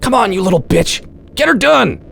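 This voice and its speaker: Man acting high-pitched, panicky, foul-mouthed guy. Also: big pitch swings, normal speed, vocal fry, a bit bright, slight background noise, heavily acted. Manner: exclamatory self-talk, swearing. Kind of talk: acted story dialogue.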